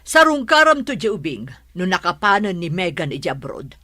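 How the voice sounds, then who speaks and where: An actress with a Filipino accent playing a meddling, easily shocked middle-aged mother with a husky voice in a radio drama. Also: fairly low pitch, very animated, normal speed, bright, forceful tone, no echo, studio quality, exaggerated voice. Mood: urging.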